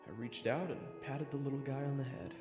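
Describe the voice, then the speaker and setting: A man's voice: medium pitch, fairly even intonation, brisk, natural voice. A man playing a sardonic young adventurer, narrating a fantasy audio drama in the first person with wry, conversational expression. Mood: curious, amused. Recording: echoey reverb, loud game music underneath.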